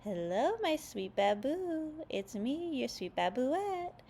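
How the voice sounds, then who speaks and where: A woman's voice: high voice, sing-song, vocal fry, warm tone, a little hiss or hum, pitched above the performer's own voice, full character voice. A woman voicing a gushing, lovestruck little girl in a children's storybook, cooing and drawing out her words. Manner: cooing, squealing, drawn-out words.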